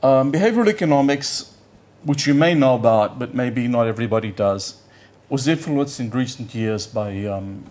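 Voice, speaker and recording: A man's voice: medium pitch, lively intonation, normal speed, few pauses, a little mellow. An older man, a professor whose first language is Yiddish, giving a conference lecture in a conversational, blunt way, often stopping to search for words and repeating himself.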